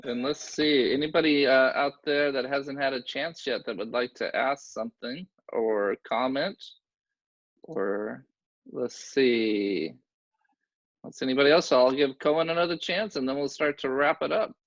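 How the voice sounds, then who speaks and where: A man's voice: mid-range voice, a little slow, no room sound, lo-fi sound. A man casually hosting a children's online event and moderating their questions. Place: video call.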